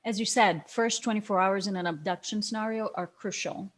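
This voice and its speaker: Actress as a wry lady cop in a table read, dry, skeptical, normal pitched voice. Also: brisk, natural voice. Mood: pressing. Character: wry, skeptical younger female police detective. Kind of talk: screenplay table read acting.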